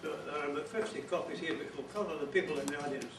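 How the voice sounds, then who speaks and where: A man's voice: on the high side, far from the mic. Scottish man speaking in a noisy echoey hall. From Scotland. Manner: measured, stumbling on repeated words. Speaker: guest speaker. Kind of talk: short talk at a meeting.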